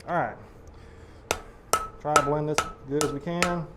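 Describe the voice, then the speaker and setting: Hoarse man, blacksmith demonstrator, folksy step-by-step teaching, loud hammer clanging. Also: on the high side, takes it slowly, very noisy. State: hoarse, losing his voice.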